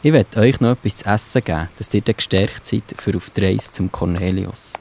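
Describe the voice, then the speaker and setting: A man's voice: on the low side, a little fast, creaky, a little mellow, a little hiss or hum. A man playing the apostle Peter in a children's audio drama, musing and pondering aloud. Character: Peter, thoughtful kindly bearded apostle man.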